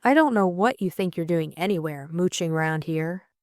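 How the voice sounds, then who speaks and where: A woman's voice: fairly low pitch, animated, normal speed, no room sound, studio quality, lightly acted. A woman voicing an inquisitive, forthright young society lady in an audiobook, pointed and wry. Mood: sceptical. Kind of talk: audiobook character acting.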